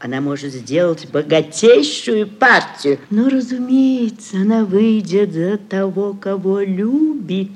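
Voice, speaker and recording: A woman's voice: medium pitch, animated, takes it slowly, hardly any pauses, darkish tone, slightly rough. An actress playing a scheming, sugary middle-aged society mother in a classic radio play, cajoling and declaiming theatrically. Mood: persuasive. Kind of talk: classic Russian literary radio play acting.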